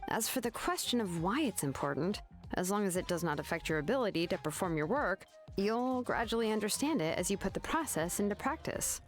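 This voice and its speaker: A woman's voice: mid-range voice, lively intonation, fairly quick, lightly acted. A woman playing a composed young craftswoman in a video game, laid-back yet exacting, explaining things casually. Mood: matter-of-fact, explaining. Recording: game music behind the voice.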